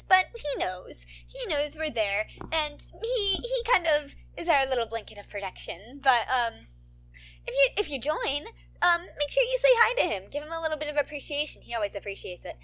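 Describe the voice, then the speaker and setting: A woman's voice: high-pitched, very animated, normal speed, fairly bright tone. A young woman chatting casually to her listeners as a channel host, in a playful, rambling, bubbly manner. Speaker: podfic reader and channel host.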